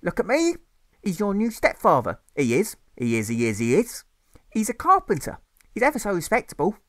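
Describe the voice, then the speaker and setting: A man voicing a fussy, anxious widowed mother in a high, pleading falsetto. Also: huge pitch swings, normal speed, fairly bright tone, no room sound, studio quality, exaggerated voice. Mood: coaxing.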